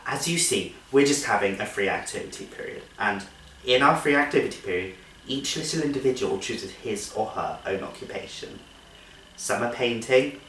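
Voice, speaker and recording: A genteel nursery teacher played by a man in a theatrical comic monologue. The delivery is animated and singsong, coaxing sweetly, then scolding with thinly held patience. It is recorded at a distance in an echoey room. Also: on the low side, slight background noise. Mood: bright, coaxing. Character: genteel, strained-cheerful female nursery teacher, patient but exasperated.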